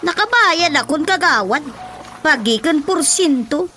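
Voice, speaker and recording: A woman's voice: sits high, lively intonation, a little slow, bright, forceful tone, faint music behind, exaggerated voice. A woman playing a sassy, defiant village debtor in an Ilocano radio comedy, with an Ilocano accent and an exclamatory delivery.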